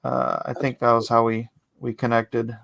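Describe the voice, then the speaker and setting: A man from California, raised in San Jose, chatting casually with dry jokes and halting, reflective stories. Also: mid-range voice, completely flat delivery, creaky, a bit bright, no echo. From born in Hawaii, raised in San Jose. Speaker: co-host, friend. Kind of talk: casual chat.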